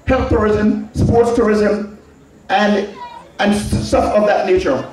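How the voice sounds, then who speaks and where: A man with an Anguillan Caribbean accent giving a political public address in an emphatic, deliberate manner, repeating phrases for stress. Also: sits high, fairly even intonation, a little mellow, faint music behind. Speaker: public speaker addressing a community. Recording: very echoey public address, distant mic.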